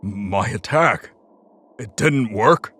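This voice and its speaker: A man's voice: fairly low pitch, lively intonation, drawn-out words, fairly bright tone, husky, heavily acted. A man playing a large, defiant war god in an audio drama, threatening and blustering as panic creeps in. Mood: shocked.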